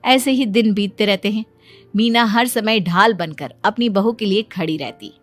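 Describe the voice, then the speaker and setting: A woman's voice: mid-range voice, moderate pace. Woman narrating a moral story over music.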